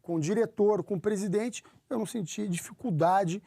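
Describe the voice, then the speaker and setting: Man, football executive guest, high mellow voice, measured and self-correcting, dry sound. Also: vocal fry, no room sound. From São Paulo, Brazil. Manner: measured, with frequent restarts. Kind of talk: interview answers.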